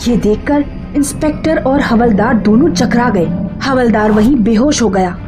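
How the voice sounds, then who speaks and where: A woman's voice: a little high, moderate pace. A woman narrating a scripted horror story in a dramatic style. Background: background music.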